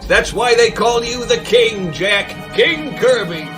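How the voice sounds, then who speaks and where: Very high-pitched, grandiose comics huckster. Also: male voice, expressive, slow, full character voice. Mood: admiring. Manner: pompous, proclaiming. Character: grandiose, showy male comics editor and huckster. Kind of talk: audio drama acting. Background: music.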